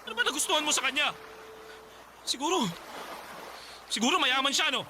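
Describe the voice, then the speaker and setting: A man's voice: very high-pitched, lively intonation, brisk, noisy background. A man playing a desperate, obsessive ex-boyfriend in a radio drama, pleading and stammering with volatile self-pity. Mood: unhinged, wheedling. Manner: stammering, pleading, repeating himself. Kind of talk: melodramatic radio drama acting.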